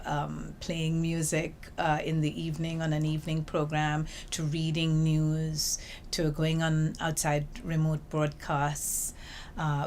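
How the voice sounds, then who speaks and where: Low-voiced woman with a Trinidadian accent. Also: a little hiss or hum. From Trinidad and Tobago. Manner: lively storytelling, acts out quoted remarks. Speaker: interviewee, UN information officer. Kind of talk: reflective interview answers. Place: small office indoors.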